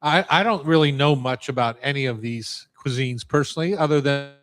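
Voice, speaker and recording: A man's voice: mid-range voice, a little fast, fairly bright tone. A man hosting a radio talk show, asking chatty, curious questions of a guest. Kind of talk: radio interview.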